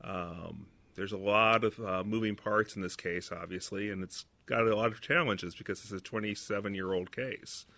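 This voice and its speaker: Bright-toned low male host. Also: fairly even intonation, moderate pace, vocal fry, few pauses, dry recording. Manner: casual, interviewing, with fillers. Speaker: podcast host, moderator. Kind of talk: roundtable discussion.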